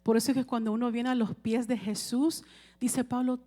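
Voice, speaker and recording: A woman's voice: a little high, little pitch movement, normal speed, warm tone. A woman preaching a sermon in a conversational way, often checking with the congregation and asking for amens.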